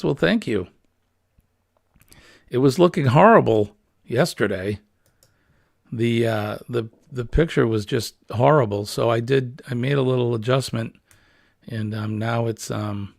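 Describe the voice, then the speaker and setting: Man, livestream host; husky, smoky, a bit gravelly; easygoing and rambling with many fillers; dry, close computer-mic sound. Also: medium pitch, dry recording. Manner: relaxed, rambling, frequent ums and uhs. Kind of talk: casual livestream chat reading viewer comments. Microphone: built-in computer webcam mic.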